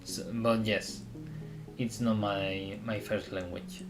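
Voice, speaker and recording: A man from Spain who speaks English as a second language, chatting casually on a live stream and often pausing to search for words. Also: fairly low pitch, little pitch movement. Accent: Spanish. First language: Spanish. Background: guitar music.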